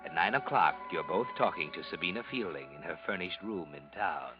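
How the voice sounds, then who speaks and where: A man's voice: low voice, lively intonation, a little fast. An actor playing a sardonic, knowing narrator in a 1940s radio mystery, insinuating and theatrical as he needles a guilty man. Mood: sly, taunting. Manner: insinuating, theatrical, addresses the character directly. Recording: dull old radio recording with music. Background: dramatic music.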